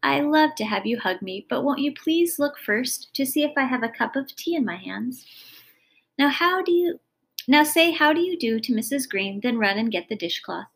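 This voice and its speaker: A woman's voice: high-pitched, animated, moderate pace, gravelly, fairly bright tone, exaggerated voice. A woman voicing a long-suffering, exasperated mother in a story, chiding in a sighing manner. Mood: patient chiding.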